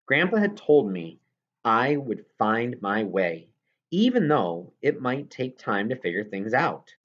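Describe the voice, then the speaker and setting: Male audiobook reader for a children's novel: lowish register, animated delivery, dull recording. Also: slow. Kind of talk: scripted story narration of a children's novel in first person.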